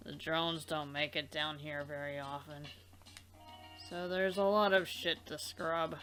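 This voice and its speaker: A woman's voice: low voice, steady tone, a little slow, fairly bright tone, soft background music. A woman voicing a blunt, defensive young female alien cleaner in an amateur visual novel dub, curt and foul-mouthed. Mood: matter-of-fact. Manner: irritable, curt, profane.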